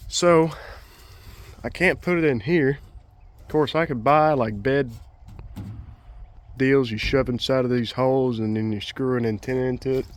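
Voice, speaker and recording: A man chatting casually and unscripted to the camera as a DIY vlogger, in a rambling, folksy, colloquial way. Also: medium pitch. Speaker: vlogger, DIY truck project host.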